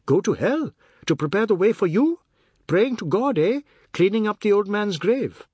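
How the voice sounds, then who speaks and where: A man's voice: fairly high pitch, big pitch swings, moderate pace, husky, dry recording, pitched above the performer's own voice. A man voicing a hot-tempered, aggrieved man who taunts his in-laws in an indignant, declamatory way. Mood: bitter taunting.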